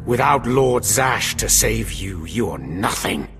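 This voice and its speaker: A man playing a stern, contemptuous Sith overseer in a video game, gravelly, commanding and sneering. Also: fairly low pitch, very animated, slow pace, music in the background. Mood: cold menace.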